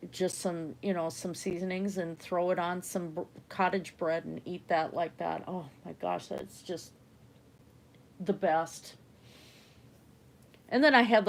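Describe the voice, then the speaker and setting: A woman's voice: fairly low pitch, a little hiss or hum, poor audio. Chatty middle-aged woman, German native. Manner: chatty, trails off softly, laughs often. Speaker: livestream art host. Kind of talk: casual rambling chat with viewers.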